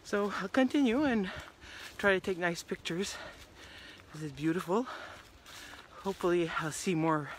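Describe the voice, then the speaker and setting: A middle-aged woman talking casually to her phone while walking a wooded trail, rambling with many restarts and often trailing off into a quiet murmur. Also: on the low side, moderate pace, background noise. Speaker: hiking vlogger. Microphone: phone held at arm's length.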